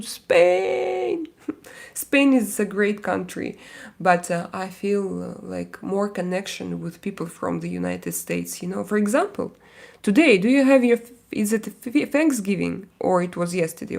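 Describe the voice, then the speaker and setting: A woman from Russia speaking English with a Russian accent, hosting a casual live stream and chatting with viewers, hesitant and full of pauses and fillers. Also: mid-range voice, vocal fry. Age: adult with young kids. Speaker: livestream host.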